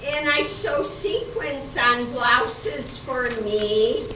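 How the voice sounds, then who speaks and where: Casting: woman as naive, excitable folksy contestant, very drawn-out delivery; amateur stage comedy, distant noisy recording. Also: high-pitched, roomy sound, lo-fi sound. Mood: chatty, proud. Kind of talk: amateur stage radio-play comedy acting.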